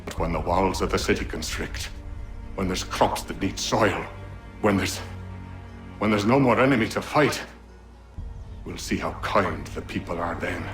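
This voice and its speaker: Imperious, low-voiced colonial villain. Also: male voice, unhurried, long pauses, a bit bright. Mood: bitter. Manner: grandiose, theatrical. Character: imperious, self-righteous colonial land baron villain. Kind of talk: dramatic game acting in period speech. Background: music.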